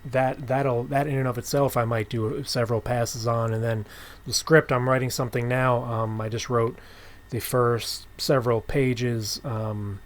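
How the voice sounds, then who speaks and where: A man's voice: mid-range voice, little pitch movement, measured pace. A man hosting a casual interview, talking in an informal, thoughtful and explanatory way. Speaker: podcast host and comic writer.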